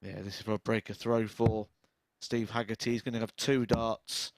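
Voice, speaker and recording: A man gives eager, opinionated co-commentary on a darts match in a middle-range voice. Clear background noise runs through the live feed behind him. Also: on the low side, level tone, moderate pace. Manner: enthusiastic opinionated analysis.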